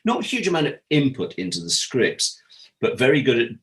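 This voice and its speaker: A British man chatting as an interview guest, telling anecdotes in a casual, animated way and acting out bits of dialogue. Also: mid-range voice, brisk. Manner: animated storyteller, acts out quoted dialogue. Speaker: interview guest, former audio drama producer.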